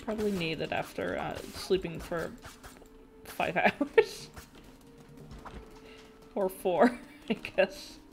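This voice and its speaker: A young woman streaming a game, chatting casually with her viewers in a nasal voice with vocal fry, giggling often and breaking into excited shrieks. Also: lowish voice, slow, pauses often, soft background music. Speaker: VTuber streamer. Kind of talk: casual gaming stream chat, reading chat aloud.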